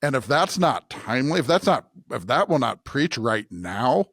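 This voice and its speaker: A man's voice: mid-range voice, very animated, moderate pace, creaky, a bit bright, no echo, studio quality. An American man co-hosting a casual Bible discussion podcast, making his points emphatically in broken, restarted phrases. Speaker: podcast co-host discussing scripture.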